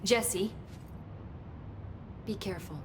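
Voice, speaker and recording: A woman's voice: mid-range voice, background noise, close to an everyday voice. Woman acting an earnest, analytical scientist. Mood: thoughtful, concerned. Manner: thoughtful, measured speculation. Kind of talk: video game cutscene acting.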